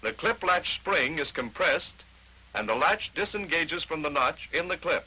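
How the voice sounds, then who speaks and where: A man's voice: on the high side, lively intonation, a little slow, bright, forceful tone, breathy edge, rough recording. An American man narrating a 1940s instructional training film, explaining step by step in short declarative statements on a vintage film soundtrack.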